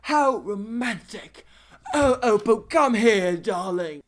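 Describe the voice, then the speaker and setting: Woman as glamorous cartoon pony designer: mid-pitched, affected, melodramatic, gushing. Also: lively intonation, slow. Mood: doting. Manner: gushing, theatrical. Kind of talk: parody cartoon dub.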